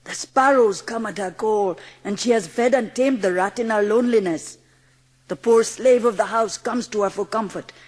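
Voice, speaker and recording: Woman acting a gentle Indian servant in long echo. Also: medium pitch, lightly acted. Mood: earnest, hushed. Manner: formal, reverent storytelling phrasing. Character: gentle, watchful Indian manservant, devoted and stealthy. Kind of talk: dramatised audiobook acting.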